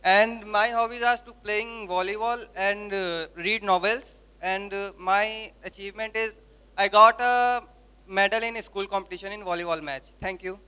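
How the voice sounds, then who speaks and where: A man's voice: high voice, fairly even intonation, moderate pace, sharp tone, clear, resonant tone. A young man with an Indian accent giving a formal self-introduction. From Raebareli, India. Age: young adult. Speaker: new student.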